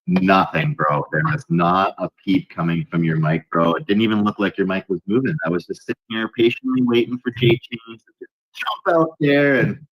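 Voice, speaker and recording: A man's voice: low-pitched, moderate pace, breathy edge. A man chatting casually on a live stream, laid-back and calling people bro. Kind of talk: casual chat. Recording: dull lo-fi call audio.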